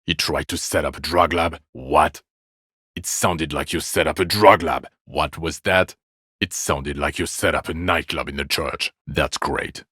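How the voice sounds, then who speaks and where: Fed up sardonic cop from a video game, super low voice w/ a hard edge, sweary, dry close sound. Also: male voice, unhurried, pushed and bright, slightly rough, dry recording, full character voice. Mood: sarcastic. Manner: sarcastic, scornful, profane. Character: exasperated, bitter, sardonic police detective berating his drunk partner.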